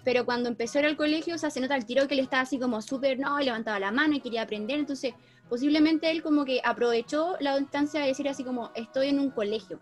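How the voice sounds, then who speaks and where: Chilean lady, pretty high voice, chatty and slangy, explaining stuff casually, music around. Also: very fast. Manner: informal, animated explaining with colloquial slang. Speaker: teacher. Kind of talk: casual discussion of a story.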